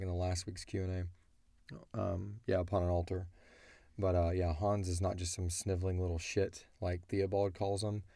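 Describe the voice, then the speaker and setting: A man's voice: low voice, gravelly, mellow, no echo. A man answering listener questions as an audio drama creator, talking casually and bluntly as he thinks aloud.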